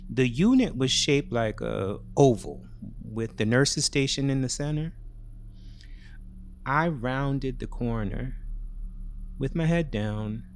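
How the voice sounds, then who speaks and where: A man's voice: medium pitch, slow, long pauses, slight background noise. A man giving a dramatic first-person narration of a thriller story.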